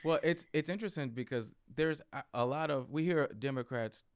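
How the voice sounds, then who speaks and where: A man's voice: a little high, brisk, clean tone, dry recording. Male podcast host, mid-range voice, dry studio tone; loose and jokey. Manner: restarts, jokey, asks follow-up questions. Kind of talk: casual podcast interview.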